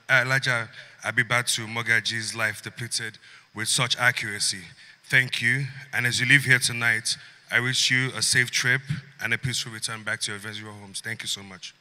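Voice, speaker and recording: A man's voice: medium pitch, bright, forceful tone. A man giving a formal vote of thanks as a family representative. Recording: reverberant large hall.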